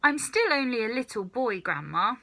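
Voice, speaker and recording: Woman as a high-pitched, squeamish little storybook boy. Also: big pitch swings, moderate pace, pushed and bright, full character voice. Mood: puzzled, plaintive. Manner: squeamish, pleading exclamations. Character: timid, protesting eight-year-old boy. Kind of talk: children's storybook character reading.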